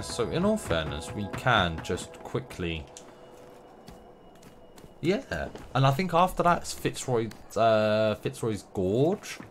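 Give me a low-pitched young man rambling to himself and his viewers as he plays, stuffy from a runny nose. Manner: rambling, playful, talks to himself and the game. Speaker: gameplay streamer. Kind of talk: casual gameplay commentary. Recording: noisy game sound behind voice.

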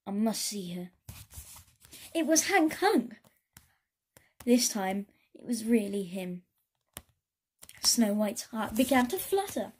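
A woman storyteller giving an expressive read-aloud of a children's story. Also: on the high side, takes it slowly, pauses often, breathy edge.

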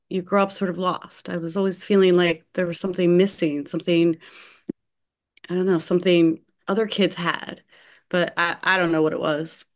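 A woman reading an earnest young female nursing home worker in an online play reading, halting and hesitant, over a video call. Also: lowish voice, natural voice. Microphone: video call computer mic.

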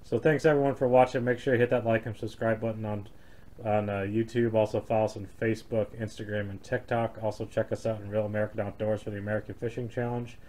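Flat-voiced man showing off his tackle. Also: fairly low pitch, fast. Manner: relaxed, filler-heavy, hands-on while handling gear. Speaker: fishing channel host. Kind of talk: casual show-and-tell of gear. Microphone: camera held close, filming his hands. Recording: noisy home video with crinkling packaging.

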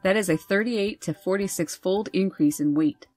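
A woman host reading scripted nature narration over wildlife footage in a measured, informative way. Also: medium pitch, a little mellow, soft background music. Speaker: birding channel host.

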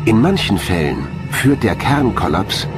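Low-voiced man narrating, lively intonation. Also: unhurried, over music. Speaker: documentary narrator. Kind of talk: scripted science documentary narration.

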